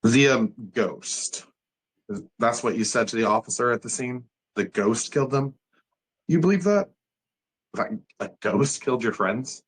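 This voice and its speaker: Guy playing a shrink, husky voice, measured, probing and a bit skeptical. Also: medium pitch, rough recording. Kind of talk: table read acting.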